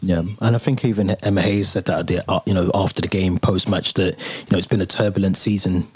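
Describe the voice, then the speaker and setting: A man's voice: low-pitched, a little fast, slightly rough, no room sound. A man hosting a casual football podcast, talking easily with a lot of ums and reading out plugs for the channel. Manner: relaxed, lots of fillers and restarts, reads out channel plugs. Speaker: podcast host.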